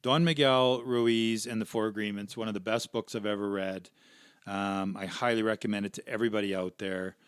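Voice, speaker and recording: A man's voice: lowish voice, steady tone, a little fast, smooth, dry recording, studio quality. A former pro hockey player, a man, talking earnestly and reflectively as a podcast guest, often checking in with "right?". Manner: reflective, earnest, peppers talk with "right?" and "you know". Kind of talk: casual interview chat.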